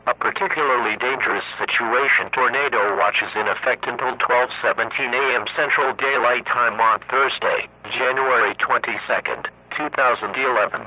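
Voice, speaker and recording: A man reading scripted emergency weather warnings in a formal, monotone synthetic voice. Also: medium pitch, moderate pace, hardly any pauses. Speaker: emergency alert announcer. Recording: muffled lo-fi radio broadcast, faint noise.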